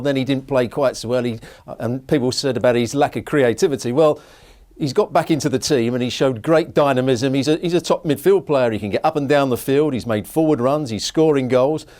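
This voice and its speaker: A man's voice: mid-range voice, a little mellow. A man working as a football pundit, giving analysis in short, matter-of-fact statements.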